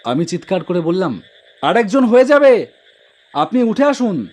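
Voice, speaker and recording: Man acting earnest young rickshaw driver, higher-pitched voice. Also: sing-song, a little slow, darkish tone. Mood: calling out. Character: humble, devoted young auto-rickshaw driver telling his story. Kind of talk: first-person cartoon horror story narration with dialogue.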